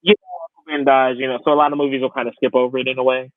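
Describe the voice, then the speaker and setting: A man's voice: mid-range voice, flat delivery, very fast, no echo, phone-quality sound. A young man who hosts a podcast, chatting casually about pop culture in a conversational way with wry asides. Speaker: podcast host.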